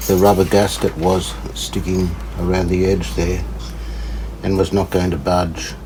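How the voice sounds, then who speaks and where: A man giving casual hands-on repair commentary, unhurried and tentative, as if thinking aloud. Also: sits low, level tone, background noise. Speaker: host of a radio repair channel.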